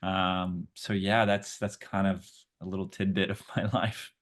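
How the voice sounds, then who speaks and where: A man's voice: sits low, level tone, a little slow. A man whose first language is Portuguese, an actor answering interview questions in a friendly, chatty way, thinking aloud as he goes. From Brazil.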